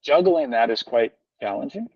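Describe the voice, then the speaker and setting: A man's voice: medium pitch, lively intonation, normal speed, gravelly, slightly rough, no echo. An American man talking on a video call from home, earnest and rambling, with many fillers and restarts. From United States. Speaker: guest, founder of a social organization. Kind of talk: casual conversational interview. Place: home, family moving about behind him. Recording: dull, lo-fi video call audio.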